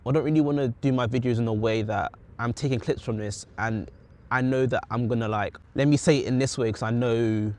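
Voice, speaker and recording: A man's voice: mid-range voice, steady tone, brisk, darkish tone. Young British man, reflective vlogger. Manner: reflective, rambling, self-correcting. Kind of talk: casual talking-head vlog.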